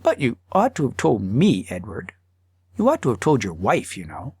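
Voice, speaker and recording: A man voicing a devoted, gently reproachful wife in an audiobook, speaking earnestly and with feeling. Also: medium pitch, huge pitch swings, slightly rough, dry recording, studio quality, pitched below the performer's own voice, heavily acted. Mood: gentle reproach. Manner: earnest, emotional, deliberate. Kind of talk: audiobook character acting.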